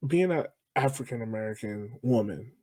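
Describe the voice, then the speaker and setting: A man's voice: medium pitch, expressive, moderate pace, dark tone, no echo. A man hosting a sports podcast, asking questions in a casual, conversational style. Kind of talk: casual interview.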